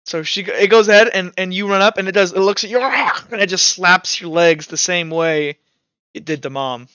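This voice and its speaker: A man's voice: sits high, moderate pace, bright, forceful tone, smooth, no echo, muffled recording. A man improvising narration as game master of a tabletop game, casually thinking aloud as he tallies dice rolls.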